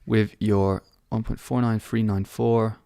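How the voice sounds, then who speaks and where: A young man reads out viewers' questions and answers them in a loose, offhand way. His voice sits fairly low and comes across smooth and clear. He is on a headset mic in a small room, and the sound is close and dry. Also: flat delivery, measured pace, dark tone, dry recording. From born in London. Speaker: trading livestream host. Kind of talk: casual live-stream Q&A.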